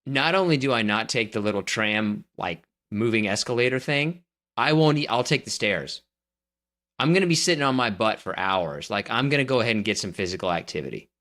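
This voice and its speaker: A man's voice: on the low side, lively intonation, brisk, a bit bright. A man co-hosting a podcast, chatting casually and giving blunt, emphatic opinions. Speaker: podcast co-host. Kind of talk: casual chat.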